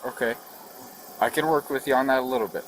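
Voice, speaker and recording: A man's voice: medium pitch, fast. A man working as a police officer, giving firm, procedural instructions during a roadside traffic stop. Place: outdoors at a roadside by a vehicle. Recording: noisy roadside body-camera audio.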